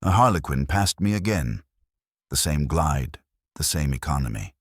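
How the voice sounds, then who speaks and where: A man reading a first-person detective story as an audiobook narrator, in a measured hardboiled style. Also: very deep voice, expressive, breathy edge, no echo, clean studio sound.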